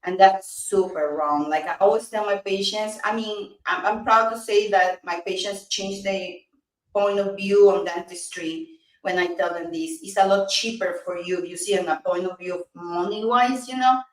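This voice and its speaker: Woman, Peruvian Spanish accent, thirties, earnest, halting restarts, echoey room, distant from the mic. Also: mid-range voice, steady tone. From Peru. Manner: earnest, many restarts and fillers. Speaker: dentist, guest. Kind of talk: casual interview chat. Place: small office, indoors. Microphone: video call webcam. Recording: roomy, distant video-call audio.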